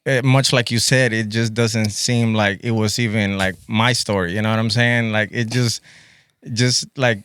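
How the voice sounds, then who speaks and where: A man's voice: lowish voice, fairly even intonation, moderate pace, fairly bright tone, smooth. A man in his forties from Guatemala, chatting on a podcast in a laid-back way and calling everyone "bro" and "man". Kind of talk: casual podcast chat.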